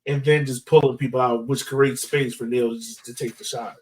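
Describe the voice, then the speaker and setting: A man's voice: medium pitch, fairly even intonation, moderate pace, poor audio. A man co-hosting a sports podcast, chatting casually like a fan in loose, informal phrasing peppered with "like". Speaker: soccer podcast host.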